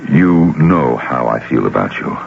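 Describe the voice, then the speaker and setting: An actor playing an earnest, lovestruck middle-aged businessman in a radio drama, halting and emphatic, with a gravelly American voice. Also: extremely low pitch, lively intonation, full character voice. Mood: pleading. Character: earnest, lovestruck wealthy businessman, awkward yet insistent suitor. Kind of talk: old-time radio drama acting. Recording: dull hissy radio drama recording with hum.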